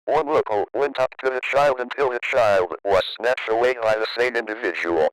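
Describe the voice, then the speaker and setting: A man reading a scripted emergency broadcast as an alert announcer, in a formal, measured way. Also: mid-range voice, smooth, no room sound, rough recording.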